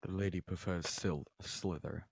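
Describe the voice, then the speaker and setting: A man playing a grim, terse knight who speaks quietly and dryly at a screenplay table read. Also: deep voice, fairly even intonation, measured pace, creaky, husky, dry recording, full character voice. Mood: dark, calm. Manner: quiet, dry, clipped.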